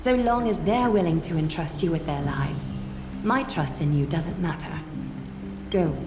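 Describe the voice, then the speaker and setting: A solemn demigod woman leader from a video game, acted by a woman, low in pitch. She is formal and coolly authoritative, with echo around the voice. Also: close to an everyday voice. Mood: solemn, commanding. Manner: formal, measured, commanding. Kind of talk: English video game voice acting. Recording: echoey, music underneath. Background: game music.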